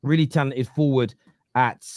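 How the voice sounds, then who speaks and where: Jokey host, loose and hesitant. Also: male voice, medium pitch, steady tone, moderate pace, no room sound, lo-fi sound. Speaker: podcast host. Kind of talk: casual football chat and ad read.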